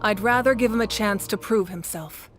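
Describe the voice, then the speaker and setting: An actress voices a female war leader in a fantasy game. She sounds poised and formal, with calm authority and a medium-pitched voice. Music plays clearly behind her. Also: moderate pace, lightly acted. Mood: composed, diplomatic. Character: composed, magnanimous female war leader of a nation. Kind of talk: video game character acting.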